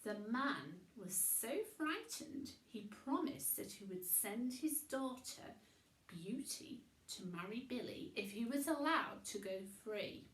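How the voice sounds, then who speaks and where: A woman reading a children's bedtime story in a theatrical way, with dramatic pauses. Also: a little high, expressive, a bit bright, roomy sound, rough recording.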